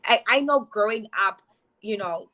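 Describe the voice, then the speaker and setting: A woman's voice: medium pitch, measured pace, pushed and bright. A Zimbabwean woman co-hosting a casual panel chat, chatty and full of fillers as she mixes in Shona. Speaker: co-host.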